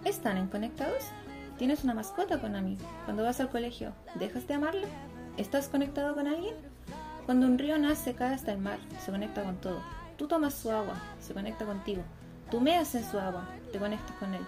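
High-voiced young Chilean girl, acted by a woman, over music. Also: lively intonation, a little fast. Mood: questioning, reflective. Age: young adult. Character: troubled, plain-spoken young girl weary of death wishes. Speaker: student performer. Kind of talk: radio theatre acting.

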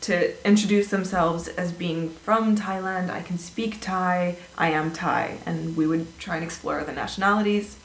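A woman tells stories in a relaxed, animated way, laughing easily as she goes. Her voice sits on the low side. The room has a noticeable echo and a faint hiss. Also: normal speed, few pauses. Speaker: guest, former English teacher. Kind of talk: casual conversational storytelling. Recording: echoey room with faint noise.

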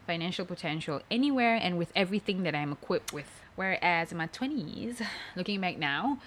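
A woman, hosting a solo podcast in a chatty, jokey way with quick self-mocking asides. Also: on the low side, expressive, fairly bright tone, a little hiss or hum. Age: 30s. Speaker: podcast host. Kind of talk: casual solo podcast monologue.

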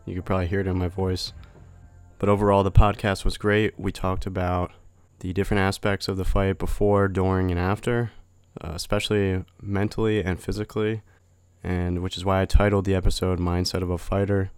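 A young man hosting a podcast, sounding congested from a cold, chatty and relaxed, laughing often. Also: sits low, fairly quick, clean tone, dry recording, soft background music. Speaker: podcast host. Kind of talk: casual podcast chat.

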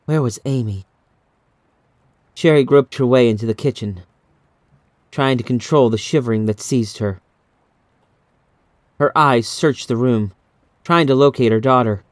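A man reading a scripted horror story in a measured, dramatic, suspenseful way, as an audiobook narrator. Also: mid-range voice, no room sound.

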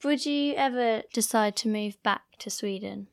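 A woman's voice: fairly high pitch, moderate pace. A woman interviewing a guest, asking hesitant questions and giving brief agreeing replies.

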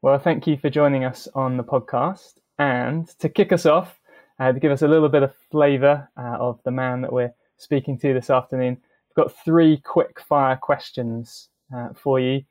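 A man hosting a podcast interview over a video call, relaxed and a little hesitant, with fillers. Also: medium pitch, normal speed. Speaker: podcast host. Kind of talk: friendly interview.

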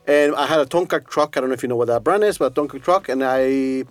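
A man's voice: medium pitch, quick pace, no room sound. A man co-hosting a podcast in casual chat, teasing and laughing, on a studio mic. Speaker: podcast co-host.

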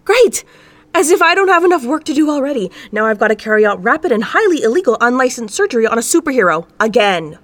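High, exasperated female medic played by an actress. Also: very animated, fast talker, fairly bright tone, no room sound. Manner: indignant, scolding. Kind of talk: comedy audio drama acting.

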